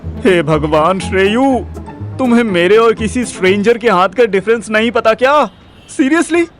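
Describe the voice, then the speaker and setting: A man's voice: high voice, animated, measured pace. A man playing a hot-headed, jealous, teasing young boyfriend in an audio drama, with an Indian accent and exclamatory delivery that mixes in English. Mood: indignant, angry. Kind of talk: Hindi audio drama acting. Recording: music behind the voice.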